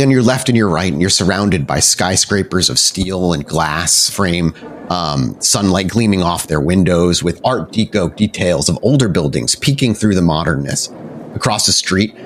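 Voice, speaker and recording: A man's voice: deep voice, a little hiss or hum. A man narrating a tabletop roleplaying game descriptively as game master.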